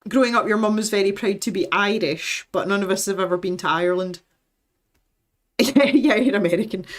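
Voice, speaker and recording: A Scottish woman chatting casually as a livestream host, opinionated and given to lively storytelling. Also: mid-range voice, brisk. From west coast of Scotland. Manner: chatty, opinionated, animated storytelling with acted-out quotes. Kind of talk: casual chat with live viewers.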